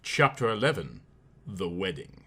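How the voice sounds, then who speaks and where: A man narrating a storybook theatrically, with emphatic, drawn-out words and big swells and drops. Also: low voice, very animated, bright, forceful tone, breathy edge. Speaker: reader of a storybook. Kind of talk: theatrical comic audiobook narration.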